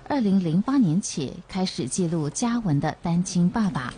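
A woman's voice: lowish voice, animated, normal speed, dark tone, a little hiss or hum. A woman with a Taiwanese Mandarin accent reading scripted documentary narration. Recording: music under voice-over.

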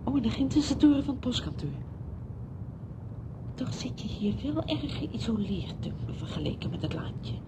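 An actress playing a chatty, well-meaning visitor in a radio drama, with a coaxing, fussing manner. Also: female voice, very animated, moderate pace. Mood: chatty, probing. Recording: noisy old radio play recording, low rumble.

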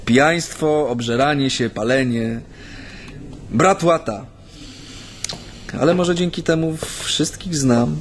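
An actor playing a chatty, nervous Japanese man steeped in Polish ways, speaking haltingly in naturalistic radio drama dialogue. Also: on the high side, a little hiss or hum. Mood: wry, self-deprecating. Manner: hesitant, halting, with many hems and repetitions.

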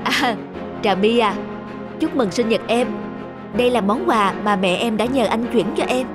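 A big-hearted, harried young delivery man in a cartoon drama. He talks politely and apologetically, over loud music. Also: very high-pitched, normal speed. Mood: polite, kindly. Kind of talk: animated drama dubbing.

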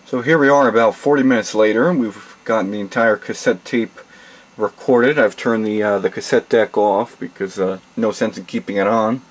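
A man's voice: lowish voice, normal speed, faint music behind. A man giving a methodical step-by-step tutorial at his home desk, talking plainly as he works through the steps. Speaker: tutorial host. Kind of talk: step-by-step how-to demonstration. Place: home desk with computer and mixer.

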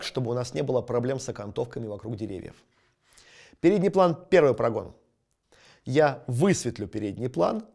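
A man in his thirties teaches from a small studio with a plain light backdrop, his voice at an ordinary middle pitch. He talks in a methodical, explanatory way, narrating each step and filling gaps with short hesitations. The sound is a front-facing camera recording. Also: mellow. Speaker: photography instructor. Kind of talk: screen-recorded editing tutorial.

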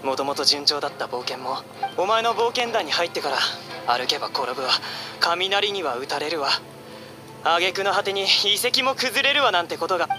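An exasperated young man played by an actor in an anime game, full of grievance. His voice sits high and swings widely as he complains dramatically, with music underneath. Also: animated, moderate pace, natural voice. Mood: resigned, bitter. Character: exasperated, resentful young male adventurer. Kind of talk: Japanese anime game voice acting.

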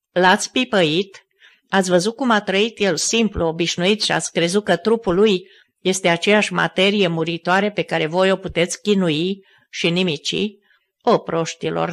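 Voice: mid-range voice, natural voice. A reader voicing Pilate as a sick, feverish Roman governor who moans weakly and then raves wildly, in an audiobook. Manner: weak and moaning, then wild shouting. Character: dying feverish male Roman governor, raving.

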